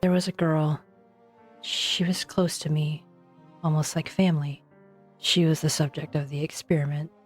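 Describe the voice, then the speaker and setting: A weary researcher played by a woman speaks gravely and deliberately in a low voice. She sounds sombre yet resolute, as in a game drama, with faint music underneath. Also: steady tone. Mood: guilt-ridden. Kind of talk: English fan dub of an anime game.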